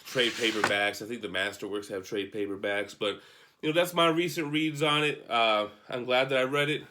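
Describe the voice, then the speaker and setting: A man's voice: mid-range voice, normal speed, sharp tone, slight background noise. A man giving an offhand, rambling, casual video review as a comic book collector, unscripted and mildly profane.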